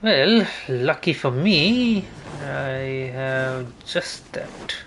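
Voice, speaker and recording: A man's voice: mid-range voice, expressive, drawn-out words, a bit bright, a little hiss or hum. A man giving casual gameplay commentary, thinking aloud and muttering to himself as he plans his next moves. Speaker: streamer playing a video game. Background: game music.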